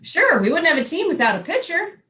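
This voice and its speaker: Unflappable comic baseball manager, played by a woman, high voice, lively swings, emphatic matter-of-fact delivery for a readers theater stage. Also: a little fast, heavily acted. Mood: insistent. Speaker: amateur readers theater performer. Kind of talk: staged comic dialogue reading.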